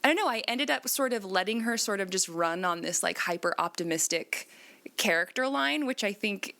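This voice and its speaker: A woman's voice: mid-range voice, lively intonation, normal speed, gravelly, bright, forceful tone. A woman in a casual podcast chat, talking conversationally as one of the panelists. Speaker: podcast panelist and role-playing gamer.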